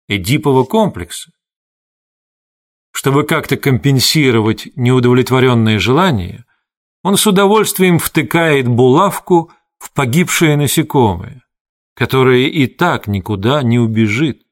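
A man narrating a literary audiobook from a script, with measured, deliberate phrasing. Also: medium pitch.

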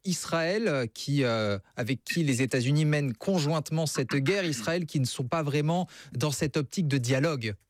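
A man hosting a live radio news interview, measured as he sets up questions for a guest. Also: fairly high pitch, no room sound, studio quality.

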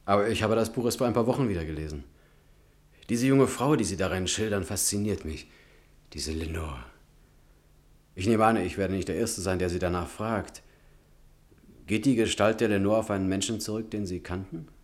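A man's voice: fairly low pitch, normal speed, long pauses, darkish tone, natural voice. An actor in a German radio play, playing an earnest, admiring young man of letters who speaks politely and articulately. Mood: respectful, curious. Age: young adult. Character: earnest, admiring young male writer, respectful and eager.

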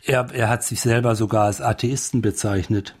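Husky man giving reflective commentary. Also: mid-range voice, steady tone, moderate pace, darkish tone. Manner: thoughtful, searching for words.

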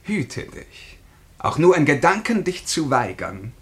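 An actor playing a haughty, menacing time traveller in a radio drama, declaiming in a pompous, commanding way. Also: male voice, fairly high pitch, very animated, measured pace. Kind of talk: German radio drama acting.

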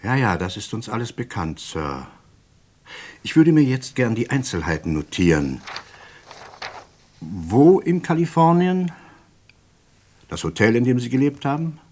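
An actor playing a courteous but persistent, suspicious British police inspector in a radio play, speaking formally and with polite insistence. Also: male voice, mid-range voice, very animated, unhurried, long pauses, darkish tone, husky. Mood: politely insistent. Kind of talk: German radio play dialogue.

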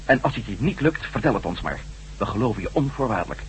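Wry adventurer reporter in a radio play, very swoopy intonation, slight hum. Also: male voice, on the low side, very animated, fast, rough recording. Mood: cooperative. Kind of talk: radio drama acting.

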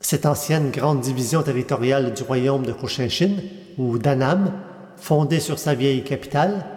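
A man's voice: mid-range voice, normal speed, darkish tone. Man narrating in a long, echoing reverb. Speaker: podcast host. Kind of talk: scripted podcast narration of history, reading aloud. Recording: long reverberant hall echo.

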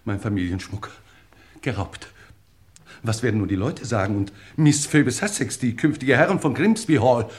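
An actor playing a pompous English lord, aghast and declaiming theatrically in a 1950s German radio play. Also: male voice, mid-range voice, moderate pace, a little mellow, natural voice. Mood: indignant, lamenting.

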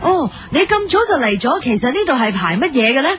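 Woman playing high-voiced, earnest news reporter. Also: big pitch swings, fast, fairly bright tone. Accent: Hong Kong Cantonese. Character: earnest, polite female television news reporter. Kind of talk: parody news report in radio drama.

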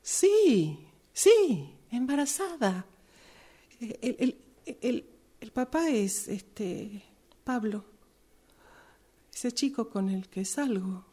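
A woman playing an anxious but determined pregnant schoolgirl in an improvised radio drama, with an Argentine accent and a hesitant, breathy delivery. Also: fairly high pitch, big pitch swings, mellow, exaggerated voice. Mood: anxious, halting. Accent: Argentine Spanish. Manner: hesitant, halting.

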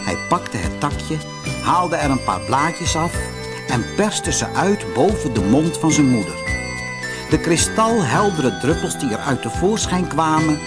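A man narrating a scripted fairy tale as an expressive audiobook storyteller. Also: mid-range voice. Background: music.

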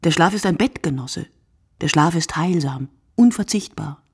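A woman playing a reflective, poetic narrator in a literary radio play, speaking in a deliberate, declamatory way. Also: sits low, animated, husky, heavily acted. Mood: emphatic, musing. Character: reflective, poetic female narrator musing on sleep and night.

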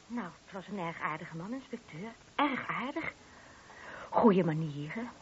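A woman's voice: on the low side, animated, unhurried, a crowd in the background. An actress playing a married woman who is a discreet but gossipy witness, hesitant, confiding and a little defensive in a radio play. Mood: coy, guarded. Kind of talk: Dutch radio drama dialogue. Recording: muffled lo-fi old radio recording.

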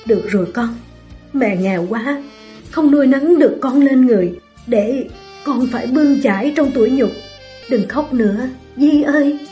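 A woman's voice: a little high, measured pace, a little mellow, soft background music, natural voice. A woman voicing a poor, anguished old mother. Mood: tender. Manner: pleading, tearful.

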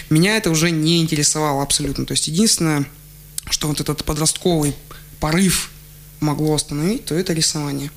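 A man, a guest on a radio show, telling childhood stories in a relaxed way, laughing often and restarting his sentences. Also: on the high side. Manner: chatty, laughs often, many fillers and restarts. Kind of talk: relaxed radio interview storytelling. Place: radio studio. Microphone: studio microphone.

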